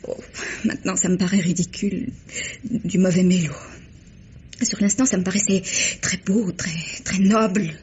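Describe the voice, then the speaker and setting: An actress playing a young woman who confesses to a poisoning, speaking haltingly with many sighs, in an old radio drama. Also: on the low side, fairly even intonation, a little mellow, husky. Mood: remorseful. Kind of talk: 1950s radio drama acting. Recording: dull old radio recording.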